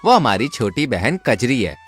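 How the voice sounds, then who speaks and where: A man acts out a village trickster in a folk tale. He is theatrical and crafty, with a lively mid-range voice over soft music. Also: medium pitch, normal speed, lightly acted. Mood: matter-of-fact. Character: swindler brother, village trickster and schemer. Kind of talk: Hindi folk tale dialogue.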